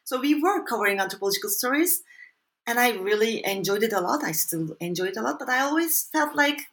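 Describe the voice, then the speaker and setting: A woman whose first language is Turkish talks through her answers as an interview guest. She pauses to find her words and speaks in a reflective, conversational way. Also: fairly high pitch, expressive, fairly bright tone. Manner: thoughtful, searching for words, occasional laughs. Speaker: author and anthropologist guest.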